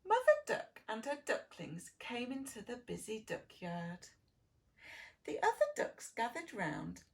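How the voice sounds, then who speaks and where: A woman reads to preschoolers as their teacher. Her pitch rises and falls freely and her pace is leisurely. She speaks in an exaggerated sing-song, pausing to put gentle questions to small children. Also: wildly swooping pitch, gravelly. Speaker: preschool early years teacher reading a story. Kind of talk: children's storytelling, addressing preschoolers.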